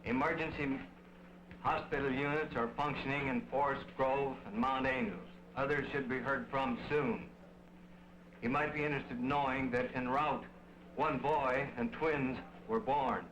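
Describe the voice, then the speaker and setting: Mid-pitched American doctor, male, reporting formally. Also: fairly even intonation, unhurried, fairly bright tone, rough recording. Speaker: emergency medical doctor. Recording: old film sound, faint noise.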